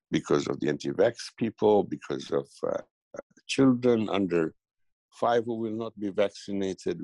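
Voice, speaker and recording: A middle-aged man from Tanzania giving a political interview, speaking haltingly with many fillers and restarts. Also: low voice, a little mellow, no echo. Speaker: guest commentator.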